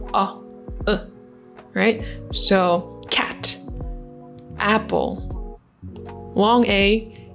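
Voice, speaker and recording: Young woman, vietnamese first language, teaching english sounds real slow and careful, soft music under. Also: medium pitch. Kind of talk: pronunciation lesson to camera.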